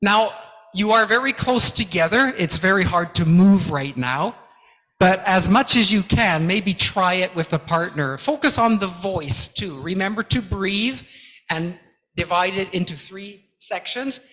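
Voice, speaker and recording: A man leads a presentation-skills workshop in a large lecture hall, his voice high and his delivery slow and deliberate. He speaks emphatically and encouragingly, counting out drills for the audience. The sound rings with the long reverb of the hall. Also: expressive, bright, forceful tone. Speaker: guest lecturer. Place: large lecture hall with about two hundred people.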